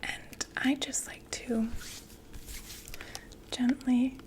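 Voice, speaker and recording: A young woman playing a female vampire door-to-door makeup saleswoman in an ASMR roleplay, whispering slow, gentle, chatty sales talk. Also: high-pitched, fairly even intonation, rough recording. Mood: soft, soothing.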